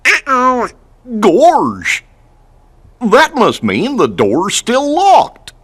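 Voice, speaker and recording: An actor playing a good-natured, dopey male dog with a husky voice, in a game cutscene. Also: very high-pitched, wildly swooping pitch, drawn-out words, a bit bright, exaggerated voice.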